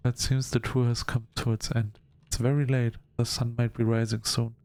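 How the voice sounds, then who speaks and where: A man hosting a gaming video, reading story text aloud in a halting, measured storytelling delivery. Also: mid-range voice, flat delivery, a little mellow, no echo. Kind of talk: let's-play narration, reading game text aloud.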